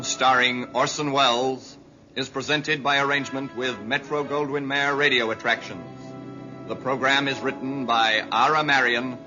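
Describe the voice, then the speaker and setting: A man making formal, polished scripted announcements in the style of 1950s radio. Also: medium pitch. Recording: muffled vintage radio broadcast over music.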